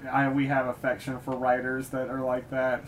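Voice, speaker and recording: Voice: mid-range voice, monotone, brisk, poor audio. An opinionated podcast host from Chile, chatting casually and enthusiastically, with some swearing. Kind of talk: casual bantering chat. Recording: faint background noise.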